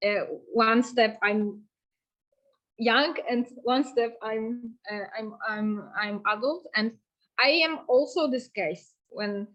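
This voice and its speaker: A slow-speaking woman in her thirties from Poland, with a slightly high voice. She halts and repeats words while searching for the next phrase, and the audio is dull and lo-fi. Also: fairly bright tone. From Eastern Wielkopolska, Poland. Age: 30s. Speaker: just transition activist. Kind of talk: online panel discussion.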